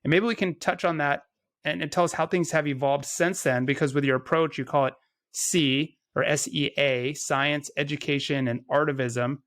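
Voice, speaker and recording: A man's voice: fairly high pitch, fairly even intonation, moderate pace, a bit bright. A man hosting a conversational interview, affirming his guests and restating ideas in piled-up phrases. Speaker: podcast host, interviewer.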